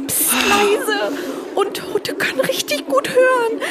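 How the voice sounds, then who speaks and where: Woman acting a shrill, panicked young hotel guest. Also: slow, heavy background noise. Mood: tense, scared. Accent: German. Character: panicked young female hotel guest fleeing undead pets. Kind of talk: comic horror audio skit.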